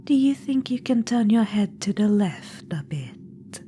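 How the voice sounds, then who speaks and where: A woman's voice: mid-range voice, lively intonation, unhurried, dark tone, close to an everyday voice. A woman voicing an imperious undead witch-queen spirit in an ASMR audio roleplay. She speaks in a whisper, teasing, condescending and commanding. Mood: coaxing whisper. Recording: clear background noise.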